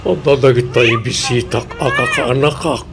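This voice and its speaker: A man acting as a mild, hesitant older suitor in a comedic radio drama, talking in a halting, reluctant way. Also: mid-range voice, creaky, noisy background, natural voice.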